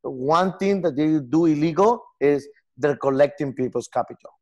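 Earnest Peruvian man with halting English. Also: on the high side, dull audio. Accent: Peruvian Spanish. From Peru. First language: Spanish. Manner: earnest, gestures a lot, halting non-native phrasing. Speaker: software company founder. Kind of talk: casual interview over video call. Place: home room with lamp and armchair.

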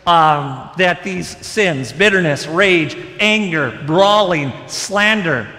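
A middle-aged man preaching a sermon from the pulpit, animated and conversational. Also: high voice. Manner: animated preaching with wide gestures, moving about behind the pulpit. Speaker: pastor. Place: large church sanctuary. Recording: long church reverb.

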